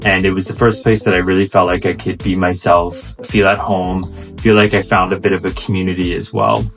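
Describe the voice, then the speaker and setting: A man's voice: low voice, brisk, gravelly, soft background music. A man in his thirties from the United States with an American accent, co-hosting a casual travel chat in a fluent, easygoing way. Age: 30s. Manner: fluent, easygoing, relaxed storytelling. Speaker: co-host.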